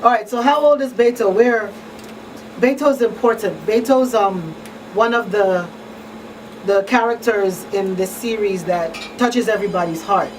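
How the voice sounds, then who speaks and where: A woman's voice: mid-range voice, a little slow, darkish tone, recorded from a distance, low-quality recording. A woman hosting a live radio talk show, chatting with listeners in a small studio. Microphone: studio microphone on boom arm. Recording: off-mic room sound, faint noise.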